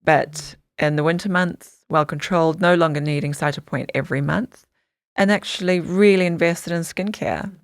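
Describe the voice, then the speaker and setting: A woman from Samoa speaks as an interview guest, with a notably low voice. She tells her stories in earnest detail, often restarting her sentences. The sound is dry and close. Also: normal speed, dry recording. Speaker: podcast guest, dog skincare founder. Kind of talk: interview storytelling.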